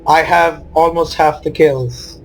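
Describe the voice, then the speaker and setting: A man's voice: on the high side, steady tone, slow, slight background noise, poor audio. A man giving informal, wry commentary on his own video game match, voicing his reactions as he goes.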